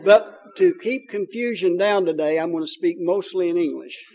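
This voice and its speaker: A man's voice: sits high, moderate pace, darkish tone, highs cut off. A man with a Kentucky accent preaching a sermon in a folksy, joking way, with many fillers. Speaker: pastor.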